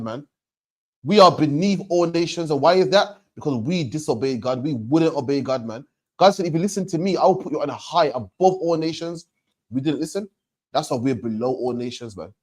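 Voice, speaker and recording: A man's voice: fairly high pitch, darkish tone. A man teaching about faith to a questioner, emphatic and repetitive, ending phrases with "man". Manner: emphatic, repeats points, ends lines with "man". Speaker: religious teacher. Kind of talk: street preaching to a questioner.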